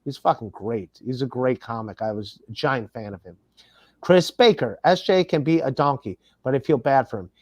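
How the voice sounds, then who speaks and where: A man's voice: mid-range voice, normal speed, dry recording. A man hosting a podcast in casual, profane chat, rambling as he reads viewer comments aloud. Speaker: podcast host. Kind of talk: casual chat reading super chats aloud.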